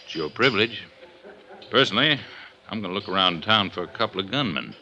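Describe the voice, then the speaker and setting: An actor playing a gruff, world-weary police inspector in a 1950s radio detective drama, blunt and folksy. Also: male voice, low-pitched, lively intonation, fairly quick, pushed and bright, heavily acted. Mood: offhand, gruff. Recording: dull, noisy vintage 1950s radio recording.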